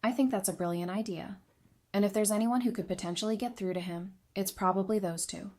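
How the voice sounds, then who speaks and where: Woman acting as a straight-talking sardonic lady adviser, medium pitch, casual audio drama chat. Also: fairly quick, darkish tone, natural voice. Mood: thoughtful, frank. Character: candid, dry-witted woman advising a community leader.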